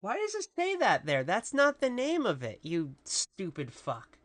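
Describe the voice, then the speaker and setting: A woman with a really deep voice, casually commentating a live game stream and chatting with viewers in a rambling, profane way. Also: wildly swooping pitch, unhurried. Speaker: VTuber streamer.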